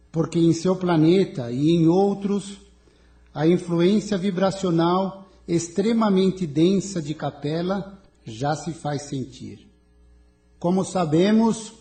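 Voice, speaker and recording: A man playing a solemn, benevolent leader of an alien council in an audio drama, speaking in a measured, formal way. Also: high voice, dark tone.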